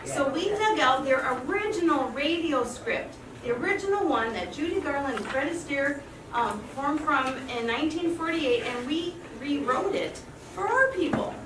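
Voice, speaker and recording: A woman's voice: high-pitched, expressive, moderate pace. A woman giving an effusive live welcome speech to an audience, asking them questions as she introduces a community performance. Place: large room with a live audience. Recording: echoey room from far off, noisy.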